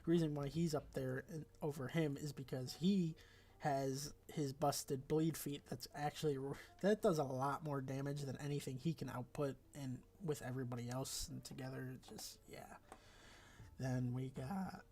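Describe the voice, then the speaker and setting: A congested man with a raspy voice talks casually through a game tier list, rambling along with fillers and self-corrections. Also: mid-range voice, fairly even intonation, normal speed, dark tone. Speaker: gaming video creator.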